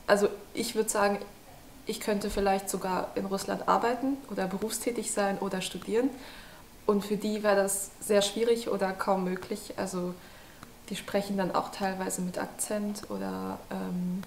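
A young woman student speaking calmly and reflectively in a classroom. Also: mid-range voice, moderate pace, creaky, a little hiss or hum. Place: classroom indoors. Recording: echoey room.

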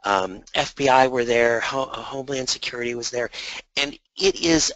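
A man presenting a compliance webinar in a conversational way, slightly hoarse from a sore throat, with many fillers and repeated words. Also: medium pitch, normal speed, a bit bright, no echo, dull audio.

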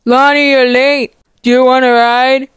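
A woman's voice: sits high, flat delivery, unhurried, smooth, no room sound. A woman voicing a caring, nagging dad in a cartoon game roleplay, reassuring and mildly insistent. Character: caring, nagging dad of a high-school girl.

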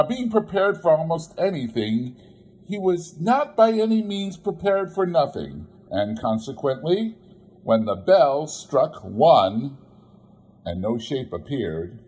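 A man reading a classic story aloud as an audiobook narrator, with expressive, theatrical delivery and deliberate pauses. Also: mid-range voice, husky, poor audio. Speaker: audiobook reader.

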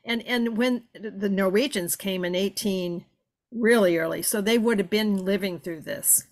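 A woman's voice: medium pitch, measured pace. An older woman attending an online class, rambling appreciatively over a video call from a room with bookshelves. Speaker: class participant.

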